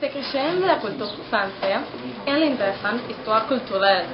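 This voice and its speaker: A woman's voice: fairly high pitch, expressive, moderate pace. An eighteen-year-old woman from Argentina, a Spanish speaker, giving a prepared account as a student of French. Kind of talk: prepared personal testimony in French as a learner. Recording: muffled echoey recording, faint noise.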